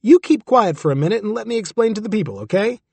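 A brash, mocking man in his thirties ranting to a crowd, voiced by a male narrator. His pitch leaps about. The voice is slightly husky and dry. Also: animated, fast talker, no room sound, exaggerated voice. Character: big brash man in his thirties, provocative stage ranter.

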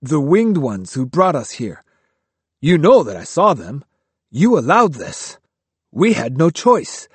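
A man's voice: a little high, big pitch swings, slow pace, husky, no room sound, pitched above the performer's own voice, heavily acted. A man doing a bitter, argumentative monk. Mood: angry. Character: grumbling, restless male monk, former drunk.

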